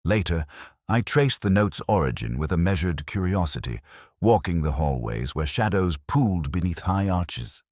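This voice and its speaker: A man with a very low voice narrates a literary mystery in a measured, reflective way. His pitch moves expressively through each formal phrase. The recording is dry and close, with little room sound. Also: expressive, darkish tone, no echo. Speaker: narrator of a detective story. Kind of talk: scripted literary audiobook narration.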